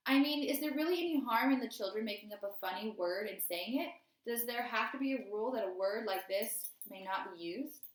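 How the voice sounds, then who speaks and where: A woman's voice: a little high, brisk, natural voice. A woman voicing an outspoken, practical mother in a storybook, firm and challenging. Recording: roomy, echoey indoor sound.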